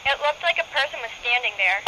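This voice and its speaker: A woman's voice: sits high, lively intonation, fast. A young female performer playing a plucky girl explorer, heard over a walkie-talkie in a radio drama. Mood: nervous but brave. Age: child. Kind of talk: amateur radio drama acting. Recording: noisy crackly walkie-talkie sound.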